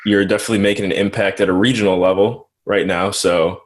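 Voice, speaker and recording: A man hosting an interview, asking questions and encouraging his guest with quick agreement. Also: sits low, fairly even intonation. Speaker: interview host. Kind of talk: conversational interview.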